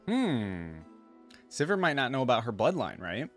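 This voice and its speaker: A man hosting a reaction video, casual and informal, reading aloud and thinking out loud with asides. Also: medium pitch, big pitch swings, normal speed, soft background music.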